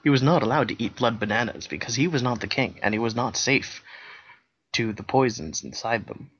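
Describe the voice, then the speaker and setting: On a slightly muffled, lo-fi recording, a man reads a silly story aloud. He narrates deadpan at a middling pitch, slipping into casual banter. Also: fairly quick, husky. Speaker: co-reader, narrator. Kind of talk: comic fan-fiction reading with casual banter.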